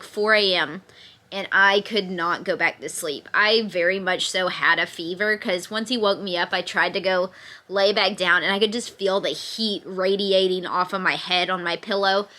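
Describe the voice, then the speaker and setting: A woman's voice: medium pitch, normal speed, pushed and bright. A young woman, sick with a fever and a little congested, chatting to the camera from bed at home and laughing through her complaints. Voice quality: occasional cough, slightly stuffy nose. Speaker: vlogger. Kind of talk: casual chat to camera. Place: in bed at home, bundled in a sweatshirt.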